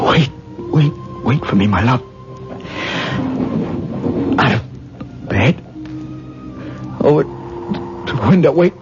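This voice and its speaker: Melodramatic lovesick actor, muffled old radio. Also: male voice, lowish voice. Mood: weak, urgent. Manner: halting, repetitive, theatrical delivery. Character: vain lovesick stage actor, feverish, obsessive, melodramatic. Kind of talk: 1970s radio drama acting. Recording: muffled vintage radio recording, music behind.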